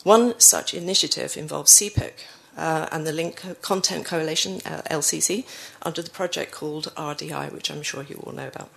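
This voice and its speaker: Woman with a croaky cold, low gravelly voice. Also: normal speed, hardly any pauses, fairly bright tone. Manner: frequent fillers and self-corrections. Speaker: conference speaker. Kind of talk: conference presentation.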